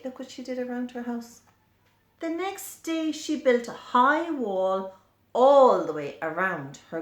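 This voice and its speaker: A woman reading a picture book aloud to young children, explaining and pointing things out as she goes. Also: on the high side. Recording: echoey room.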